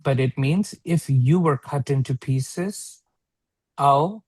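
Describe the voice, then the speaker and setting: Man teaching a class, halting delivery, dry sound. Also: medium pitch, a little mellow, breathy edge, no room sound. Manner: halting, pausing often to check understanding. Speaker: teacher of an Arabic hadith read-along class. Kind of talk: informal interactive lesson, translating phrase by phrase. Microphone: online video call.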